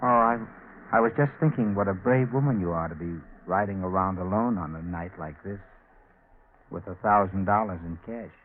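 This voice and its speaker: A man's voice: deep voice, moderate pace, lightly acted. Man playing a guarded young drifter, tinny radio. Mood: watchful. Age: young adult. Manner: laconic, guarded. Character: guarded, quietly menacing young drifter, ex-soldier. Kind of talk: 1940s radio acting. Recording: scratchy, tinny 1940s radio recording.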